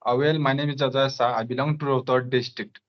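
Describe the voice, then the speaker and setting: A man's voice: mid-range voice, steady tone, fast, muffled recording. A young man from Nepal, a guest in a casual conversation, speaking hesitantly with many fillers.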